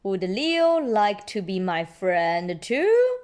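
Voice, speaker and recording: A woman's voice: mid-range voice, huge pitch swings, takes it slowly, a little mellow. A woman voicing an eager, giggly little girl pig from a children's storybook. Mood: curious.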